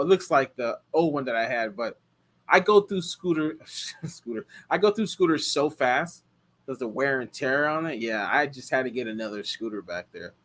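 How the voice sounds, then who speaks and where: A man's voice: mid-range voice, fairly bright tone. A man in his thirties from the United States, chatting casually with viewers and answering their questions, rambling with many fillers and restarts. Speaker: car rental host answering viewer questions. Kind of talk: casual live chat.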